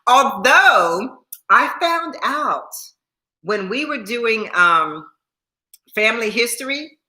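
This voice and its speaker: A woman's voice: on the high side, very animated, slow pace, pushed and bright, reverberant. A woman in her sixties chatting casually on a livestream, repeating herself and laughing often while thanking viewers by name. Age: 60s. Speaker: YouTube host.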